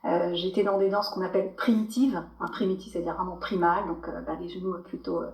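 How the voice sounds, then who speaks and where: A woman with a French accent, a podcast guest telling her story in a loose, animated way with many fillers and restarts. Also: lowish voice, brisk. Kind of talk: casual podcast interview. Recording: echoey room.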